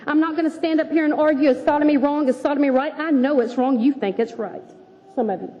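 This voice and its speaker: A woman testifies at a public meeting, forceful and insistent. Her voice is somewhat high. The recording is dull and lo-fi, with long reverb from a large hall. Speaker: public commenter. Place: city assembly chamber.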